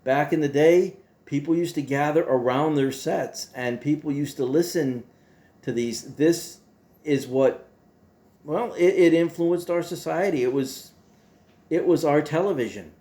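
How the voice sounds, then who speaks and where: A man hosting a casual monologue to his viewers in a folksy, playful way, halting and repeating himself as he goes. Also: a little high, mellow. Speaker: host.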